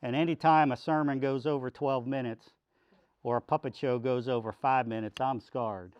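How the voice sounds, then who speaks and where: A man's voice: mid-range voice, steady tone, normal speed, smooth, no echo, voice pushed down, close to an everyday voice. A man voicing a wisecracking, complaining male puppet in a children's church show, with a folksy, teasing drawl. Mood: teasing complaint. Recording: dull lo-fi sound.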